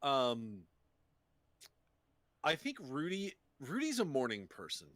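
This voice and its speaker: A man playing a tabletop roleplaying game, casually describing his character as he thinks out loud. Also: mid-range voice, huge pitch swings, slow pace, no echo.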